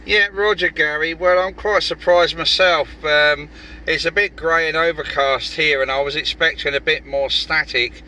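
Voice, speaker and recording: A man's voice: fairly high pitch, steady tone, moderate pace, bright, forceful tone, poor audio. A man chatting casually as an amateur radio operator. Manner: signs off turns with "over".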